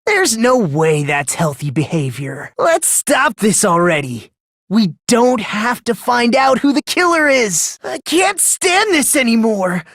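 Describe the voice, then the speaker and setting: A woman with an American accent voicing a polite, soft-spoken young man with an unsettling hopefulness in a video game. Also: on the high side, big pitch swings, a little slow, no echo, studio quality, exaggerated voice. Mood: agitated, pleading. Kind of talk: English video game voice acting.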